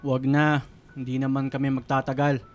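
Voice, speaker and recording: A man's voice: mid-range voice, completely flat delivery, moderate pace, lo-fi sound, lightly acted. An actor playing a wealthy, domineering older father-in-law, scornful and harsh, in a Filipino radio drama. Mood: cold, curt. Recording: music bed behind voice.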